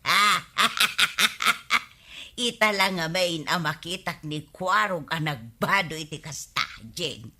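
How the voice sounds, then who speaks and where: A woman playing a teasing, gossipy villager in an Ilocano comedy radio drama, with drawn-out exclamations. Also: lowish voice, sharp tone, heavily acted. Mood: teasing, amused.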